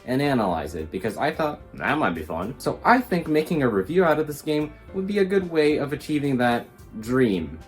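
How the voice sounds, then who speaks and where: Young man narrating with lively, swinging intonation. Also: mid-range voice, moderate pace. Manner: jokey, self-aware asides. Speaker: video game reviewer. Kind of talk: scripted video essay narration. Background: background music.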